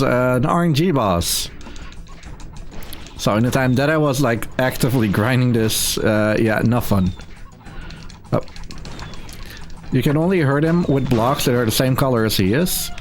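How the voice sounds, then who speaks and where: A man giving casual live commentary as he speedruns a video game. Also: mid-range voice. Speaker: speedrunner commentating own run.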